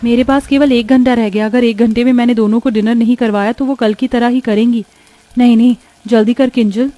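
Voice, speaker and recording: A woman's voice: a little high, fairly even intonation, brisk, dark tone, dry recording, soft background music, close to an everyday voice. A woman playing a kind, mistreated young stepsister in a moral story, meek and pleading. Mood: anxious. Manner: pleading, submissive. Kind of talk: animated Hindi moral story acting.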